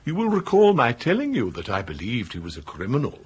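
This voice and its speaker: An actor playing a stately English butler, formal, deferential and disapproving, in a radio comedy. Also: male voice, mid-range voice, huge pitch swings, brisk, husky, full character voice. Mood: stiff, deferential. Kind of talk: radio comedy drama acting.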